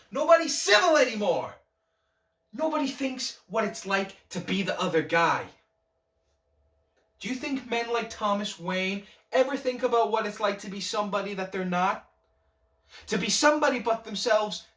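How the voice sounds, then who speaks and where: A man performing a dramatic monologue as an embittered, unhinged outcast, theatrical and aggrieved as he rants at a talk-show host. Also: heavily acted. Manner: theatrical, aggrieved, swinging from shouting to low seething. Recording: roomy echo, distant microphone.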